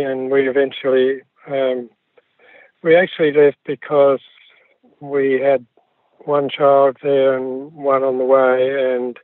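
A man's voice: medium pitch, no echo. Older Australian man on a tinny phone line. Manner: hesitant, many ums and restarts. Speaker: guest. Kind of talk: relaxed recollection of his life.

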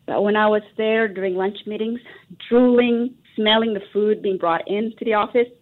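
A woman's voice: medium pitch, fairly even intonation, moderate pace. A woman raised in Texas, answering a radio interviewer in an earnest, reflective way with many fillers and restarts. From Indonesia, raised in Texas. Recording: tinny phone line.

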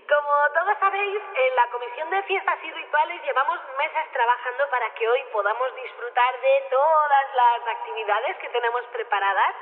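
A woman playing an earnest festival official in an audio drama, giving a formal, halting public speech in a Spain Spanish accent. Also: narrow, tinny audio, lightly acted. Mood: solemn, grateful. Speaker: festival committee speaker. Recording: booming long reverb, thin PA-like sound.

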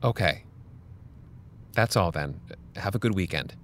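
A concerned, firm male high school counselor in an audio drama. His voice sits on the low side with very varied intonation, and he presses his point in a measured, earnest way. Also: animated, very noisy. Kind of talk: scripted audio drama dialogue.

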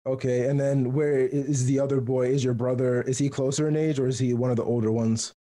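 A man's voice: medium pitch, level tone, brisk, mellow. A man working as an interviewer, asking questions in a conversational style.

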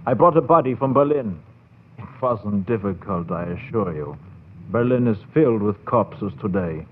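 A man's voice: lowish voice, lively intonation, moderate pace, phone-quality sound, close to an everyday voice. Man acting a scheming scientist on noisy old radio. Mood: smooth, persuasive. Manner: formal, persuasive, theatrical. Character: scheming, insistent male scientist conspirator. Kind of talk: 1940s radio horror acting.

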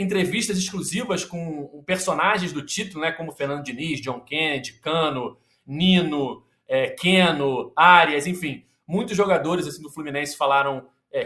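Chatty podcast host, echoey. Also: male voice, fairly high pitch. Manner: lively, many fillers and restarts. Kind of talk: sports podcast chat.

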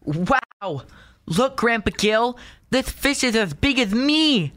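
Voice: mid-range voice, expressive, slow, fairly bright tone. A performer playing an eager, curious young boy in a radio play. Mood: excited.

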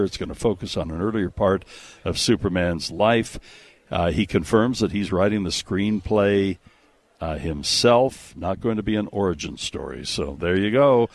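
A man's voice: deep voice, normal speed, no echo. A man hosting a radio movie show with casual, jokey banter and emphatic, conversational delivery. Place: radio studio.

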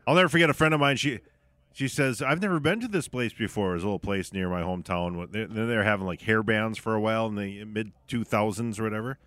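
A man's voice: on the low side, quick pace, a bit bright, dry recording. A middle-aged man with an American accent co-hosting a sports talk radio show, bantering casually and telling anecdotes with self-corrections. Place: radio studio.

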